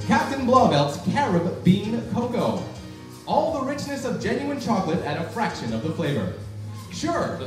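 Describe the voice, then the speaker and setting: A wry, mock-earnest radio pitchman from an old-time comedy broadcast, played by a young man. The voice is high for a man and grandly promotional. It comes from far off in a very echoey room, with music behind. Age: young adult. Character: brisk, wry 1950s radio pitchman selling cocoa. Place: small library room, live holiday performance. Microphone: stage microphone on stand, distant camera recording.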